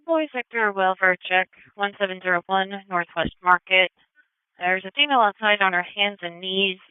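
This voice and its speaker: Narrow-band radio, clear-voiced female dispatcher. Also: medium pitch, normal speed, dry recording. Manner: clipped, procedural, reads addresses and details methodically. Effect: police radio transmission. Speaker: emergency dispatcher. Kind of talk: police radio dispatch, terse procedural announcements. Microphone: radio dispatch microphone.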